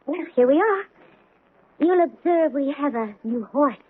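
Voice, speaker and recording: Casting: teasing girl of twenty, breezy, high-pitched; tinny, hissy old radio drama. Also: expressive, measured pace. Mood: playful, excited. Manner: girlish, breezy, playful. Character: bright, teasing young woman of twenty, eerily matter-of-fact. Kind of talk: 1970s radio drama acting.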